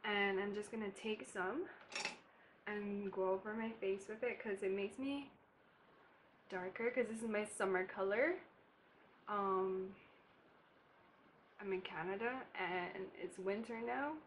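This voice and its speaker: Young woman from Barbados, chatty makeup vlogger, mid-pitched, faint hiss in a bedroom. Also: slow, pauses often. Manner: chatty, casual, laughing asides while applying makeup. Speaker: beauty vlogger. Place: bedroom, indoors.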